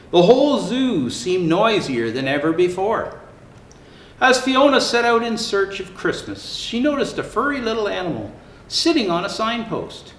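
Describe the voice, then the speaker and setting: A man reading a children's picture book aloud in an expressive, theatrical way with drawn-out emphasis. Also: high voice. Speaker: storyteller dressed as Santa Claus. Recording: roomy echo, faint background noise.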